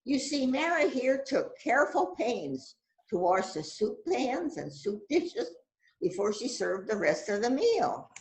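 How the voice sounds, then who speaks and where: A woman's voice: fairly high pitch, very animated, rough recording. A woman playing a self-assured, dramatic detective who bosses an assistant around in an amateur mystery play. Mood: triumphant, explaining. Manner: deliberate, dramatic, musing. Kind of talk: amateur readers theater, radio mystery acting.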